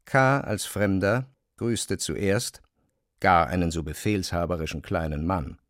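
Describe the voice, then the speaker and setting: A man narrating a literary classic in a measured, precise storytelling style. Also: low voice, no echo, clean studio sound.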